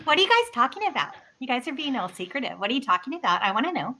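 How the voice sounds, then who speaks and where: Girl playing a nosy happy cat, super fast and high, tabletop game, noisy call. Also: very animated. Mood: eager, nosy. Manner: eager, chirpy, inquisitive.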